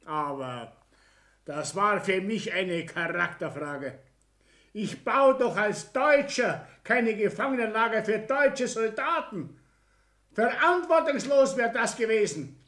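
A man's voice: sits high, expressive, slow, a bit bright, full character voice. A man acting a proud, aggrieved Bavarian business owner in a 1960s German radio play, self-righteous and blustering. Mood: indignant, self-righteous.

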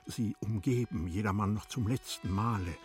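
A man narrating a tragic classic story in a radio play, in a solemn, measured, dramatic manner. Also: lowish voice, darkish tone, faint music behind, natural voice. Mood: grave.

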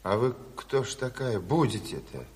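A man's voice: medium pitch, very animated, moderate pace, slightly rough. An actor playing a weary, wry, folksy Soviet chairman in a radio play, talking colloquially. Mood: skeptical. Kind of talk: naturalistic radio play acting. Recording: reverberant room.

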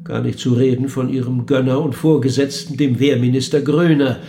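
An actor playing a cool, probing interviewer of the dead in a German radio play, speaking in a measured, dry and pointed way. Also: male voice, mid-range voice, steady tone, creaky, dark tone, slight background noise, natural voice. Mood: measured reciting.

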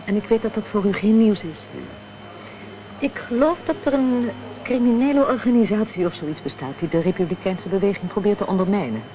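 A woman's voice: medium pitch, fairly quick, a crowd in the background, lightly acted. A woman playing a guarded, proud widow in a Dutch radio drama. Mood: earnest, firm. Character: guarded, proud widow devoted to republican politics. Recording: dull, noisy old radio recording.

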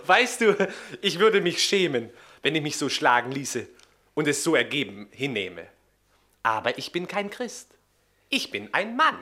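An actor playing an arrogant, cowardly young Roman gentleman with a haughty, theatrical manner in a German radio drama. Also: a little high, very animated, unhurried, heavily acted. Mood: sneering, haughty. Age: young adult.

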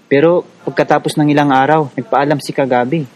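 A man's voice: fairly high pitch, fairly even intonation, brisk, a little mellow, natural voice, low-quality recording. An actor playing an earnest young Filipino village activist who narrates his own story in a radio drama. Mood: earnest storytelling. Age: young adult. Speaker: narrator and lead character. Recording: music under the voice.

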